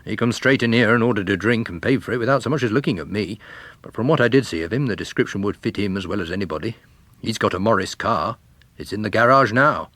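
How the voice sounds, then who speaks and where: A man's voice: lowish voice, animated, fast talker, a bit bright, dry recording. A man voicing a grumbling, plain-spoken pub landlord in a story reading, blunt and colloquial. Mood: guarded.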